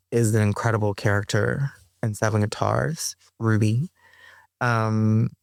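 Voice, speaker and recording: A woman's voice: extremely low pitch, dry recording, studio quality. A woman guest on a podcast interview, speaking thoughtfully with frequent fillers and restarts, laughing now and then.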